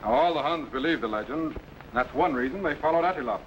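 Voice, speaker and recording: An actor playing a confident, commanding time-travelling captain in a brisk, businesslike manner. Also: male voice, on the high side, animated, dull audio. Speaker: lead character. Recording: noisy old radio recording.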